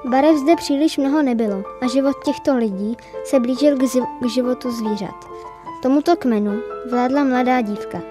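A woman's voice: sits high, over music. A woman reading scripted narration of a fairy tale as the storyteller.

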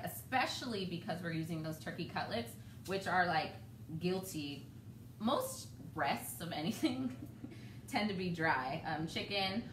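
A woman in her thirties hosting a live home cooking demo, chatty and relaxed, laughing often. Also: lowish voice. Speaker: cooking show host. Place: home kitchen, indoors. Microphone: camera across the kitchen. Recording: roomy, distant, noisy home kitchen.

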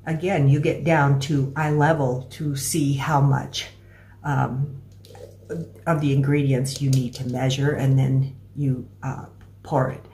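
A middle-aged American woman giving a casual, step-by-step cooking demonstration in a halting, explanatory way. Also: extremely low pitch, level tone, dark tone. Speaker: home cooking instructor. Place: small room at home, indoors. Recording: clear background noise with clicks.

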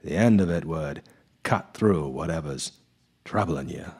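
A sinister backwoods woodsman, acted by a man in a radio play. The voice is deep and husky, drawn out slowly, with a folksy, insinuating menace that sounds half seductive. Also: a little mellow, exaggerated voice. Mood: sly, menacing. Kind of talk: live radio drama acting.

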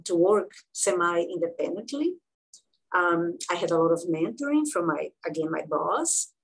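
A woman in her sixties from Brazil with a Brazilian Portuguese accent, telling her career story as an interview guest, with frequent pauses and fillers. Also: fairly low pitch, darkish tone, breathy edge, low-quality recording. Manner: halting, many fillers and restarts. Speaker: guest physician-researcher.

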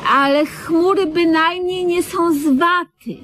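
High-pitched, fussy, doting woman. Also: fairly even intonation, slow, fairly bright tone, full character voice. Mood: patient, flustered. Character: fussy, doting, patiently lecturing woman companion. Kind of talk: comedic radio play acting. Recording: slightly noisy old radio recording.

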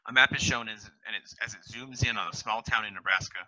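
Young man on a headset, reads stage directions, very bright, dry sound. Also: fairly low pitch, fast talker, no echo, poor audio. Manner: plain, steady reading.

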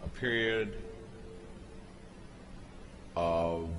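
Slow, deep-voiced man narrating. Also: a bit bright, a little hiss or hum. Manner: slow, halting explanation with pauses and restarts. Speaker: narrator explaining a product video. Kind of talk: unscripted voice-over commentary.

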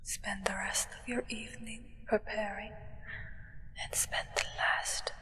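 A woman's voice: medium pitch, steady tone, measured pace, bright, forceful tone. A young woman voicing a solemn, reverent acolyte of a vampire sovereign in a ceremonial ASMR roleplay. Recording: long reverb.

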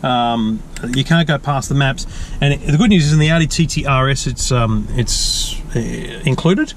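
An Australian man casually showing off a car's digital dashboard, relaxed and chatty with frequent ums and restarts, recorded inside a parked car. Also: mid-range voice, moderate pace, slight background noise. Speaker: tech reviewer. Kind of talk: casual car feature walkthrough.

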